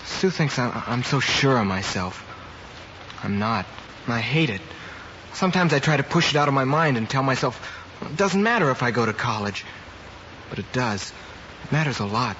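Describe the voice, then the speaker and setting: A young man acting a studious, cocky high school boy who hides his self-doubt, in 1950s radio drama style. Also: lively intonation, normal speed, sharp tone. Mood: anxious, confiding. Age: young adult. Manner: brash confidence hiding self-doubt. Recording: muffled, very noisy old radio recording.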